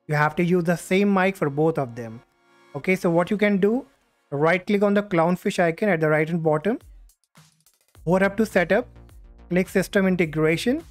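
A man hosting a step-by-step software tutorial, speaking into a USB condenser microphone. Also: sits high, moderate pace. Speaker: tutorial host. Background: music.

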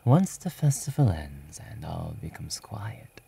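A man narrating a scripted second-person ASMR story in a soft, hushed, intimate manner. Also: deep voice, big pitch swings, unhurried, gravelly, slightly rough.

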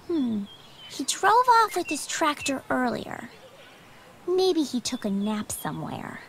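A woman voicing a perky, helpful young farm girl in a video game, with a chirpy, expressive delivery. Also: sits high, takes it slowly, vocal fry, a little hiss or hum, heavily acted. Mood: friendly, chatty. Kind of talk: video game dialogue and tutorial.

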